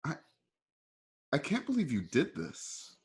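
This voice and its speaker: An actor as a loyal, hot-blooded cowboy in a Western radio drama. His highish voice swings widely in pitch with emotion. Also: wildly swooping pitch, slow pace, exaggerated voice. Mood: incredulous, angry. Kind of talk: online radio play acting.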